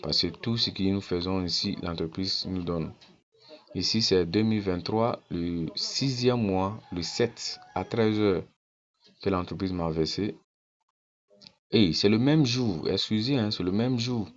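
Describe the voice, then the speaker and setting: Deep-voiced man, insistent pitch. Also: unhurried, lo-fi sound. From Ghana. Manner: repeats phrases insistently, persuasive. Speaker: network-marketing promoter. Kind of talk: casual screen walkthrough.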